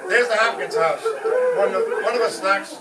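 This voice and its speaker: A man's voice: sits high, moderate pace, natural voice. A man playing a blustering, scheming father and husband in an old-time radio comedy on stage. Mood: scheming, excited. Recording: echoey live stage from far off, faint noise.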